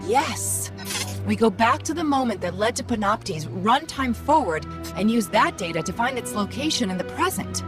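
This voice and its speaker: An actress playing a witty, upbeat little AI companion in a video game, brisk and confident. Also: female voice, fairly high pitch, animated, close to an everyday voice. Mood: playful, warm. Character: witty, upbeat female Ghost, small AI companion. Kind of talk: scripted video game voice acting. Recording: music playing behind the voice.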